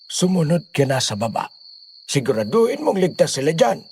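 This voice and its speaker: A man plays a grave, commanding father in an audio horror drama. His voice is creaky and somewhat high, rising and falling dramatically, over faint night noise. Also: very animated, normal speed, a little mellow. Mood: stern, warning. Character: stern, grave village father giving orders and warnings.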